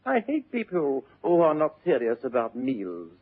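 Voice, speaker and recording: A flippant, upper-class English man about town, delivers airy stage-comedy wit. His pitch darts about with theatrical glee and the voice is slightly husky, on a muffled vintage radio recording. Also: wildly swooping pitch, normal speed. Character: flippant, witty upper-class young bachelor nephew.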